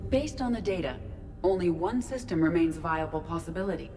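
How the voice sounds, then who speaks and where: A woman voicing a calm ship artificial intelligence in a video game, speaking in a measured, formal, analytical way. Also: lowish voice, music in the background, close to an everyday voice. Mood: measured, matter-of-fact.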